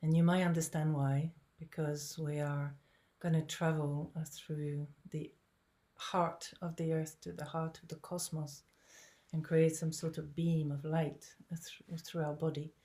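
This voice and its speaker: Calm low-voiced woman in her sixties. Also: measured pace. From Lebanon. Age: 60s. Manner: calm, gentle, inviting. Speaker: prayer facilitator. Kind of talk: guiding an online prayer gathering. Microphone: video call microphone.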